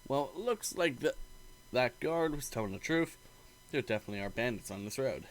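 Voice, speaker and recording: Expressive man reading aloud, very varied pitch. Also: a little fast, slight background noise. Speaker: gamer reading a visual novel aloud. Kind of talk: let's play narration of story text and dialogue.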